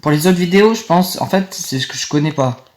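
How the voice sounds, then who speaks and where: Rambling young gamer. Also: male voice, a little high, a little fast. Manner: rambling, easily annoyed, talks to viewers. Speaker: gaming video creator. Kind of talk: casual gameplay commentary. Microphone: phone.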